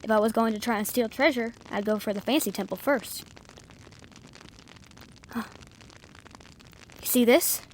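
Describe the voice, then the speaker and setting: High-voiced, wry woman treasure hunter, acted out. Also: measured pace, pauses often, slight background noise, close to an everyday voice. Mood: curious, excited. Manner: wry, sarcastic, informal banter with swearing. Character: adventurous adult female treasure hunter, brash, wry, brave. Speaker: voice actor. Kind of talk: audio roleplay acting addressed to the listener.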